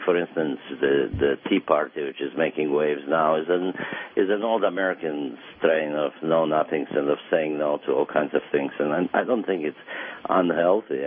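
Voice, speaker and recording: Romanian guy, super deep husky voice, rambling with lots of uh, sounds like a phone line. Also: a little hiss or hum. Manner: wry, rambling, halting with many fillers. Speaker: author guest. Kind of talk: radio interview.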